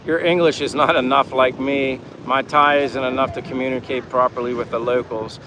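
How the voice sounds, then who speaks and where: A man's voice: medium pitch, flat delivery, moderate pace. A man chatting casually and meandering through an informal livestream, reading out viewer comments. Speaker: livestream vlogger. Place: outdoors in the Philippines, in daytime. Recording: noisy outdoor ambience with wind, vehicles. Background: wind, vehicle and outdoor ambience noise.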